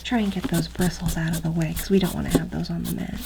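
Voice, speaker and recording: A woman speaking softly and often whispering, with a breathy, halting, unhurried delivery, as an instructor in an ASMR training roleplay. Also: fairly low pitch, flat delivery, noisy background.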